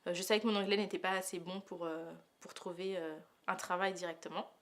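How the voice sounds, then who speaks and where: A thirty-year-old woman from Paris gives a spontaneous personal testimonial, pausing often as she thinks aloud in a hesitant way. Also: lowish voice, steady tone. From Paris, France. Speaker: testimonial interviewee.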